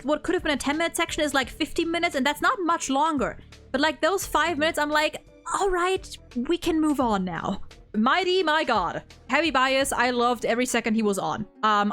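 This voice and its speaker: Excitable woman doing casual fan commentary, highish voice, sweary and rambly, bit of music behind her. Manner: gushing, sweary, rambling enthusiasm. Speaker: host of a game story ranking discussion. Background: background music.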